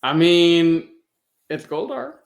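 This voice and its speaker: A man's voice: a little high, lively intonation, slow, vocal fry. A man chatting casually as a co-host on a podcast.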